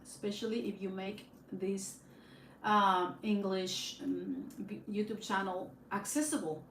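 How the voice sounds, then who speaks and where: A woman's voice: mid-range voice, steady tone, a little slow, fairly bright tone. Chatty woman with a Spanish accent. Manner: rambling, chatty, frequent fillers and restarts, laughs often. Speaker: YouTube live streamer. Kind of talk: casual live-stream chat. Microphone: phone on a tripod. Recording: echoey room with faint background hiss.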